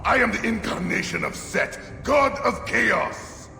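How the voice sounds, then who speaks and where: A man's voice: high-pitched, lively intonation, measured pace, gravelly, bright, forceful tone, faint music behind, heavily acted. An actor playing a deranged, murderous madman who believes he is a god of chaos, ranting grandly in a video game. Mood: grandiose fury. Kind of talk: dramatic video game acting. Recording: echoing hall with long reverb.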